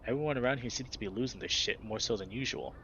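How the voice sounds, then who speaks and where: A man's voice: medium pitch, fast talker, sharp tone. A stout young fennec stoner who drawls crude, easygoing lines, as a man puts him on. The recording is a bit dull with a faint hiss behind it. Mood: laid-back. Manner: laid-back stoner drawl, swearing.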